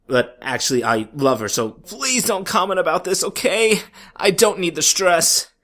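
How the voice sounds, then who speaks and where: A man with a fairly high voice reads a comic story aloud over music. His delivery is theatrical and sardonic, with pitch that swings widely and an exasperated edge to his asides. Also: a bit bright. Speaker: narrator of a story. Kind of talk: dramatic comedic fan fiction reading.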